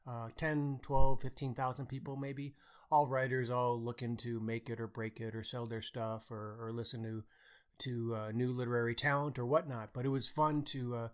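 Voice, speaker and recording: A man's voice: medium pitch, clean tone. A man co-hosting a podcast, chatting casually about books. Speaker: podcast co-host. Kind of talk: casual book chat.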